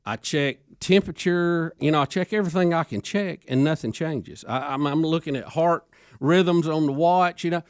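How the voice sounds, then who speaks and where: Higher-voiced man, chatty radio host. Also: moderate pace, clear, resonant tone, no echo, clean studio sound. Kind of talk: casual chat.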